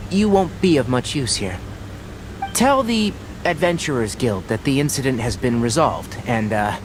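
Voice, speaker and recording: An actor playing a calm, earnest young researcher in a video game, explaining things in a measured, considerate way. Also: male voice, mid-range voice. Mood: gently insistent.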